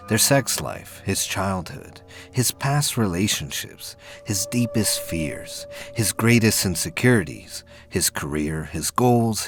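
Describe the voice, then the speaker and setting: Guy with a really deep voice narrating a serious sci-fi audio story, dramatic delivery, bit of music underneath. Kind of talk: dramatic scripted narration of science fiction prose.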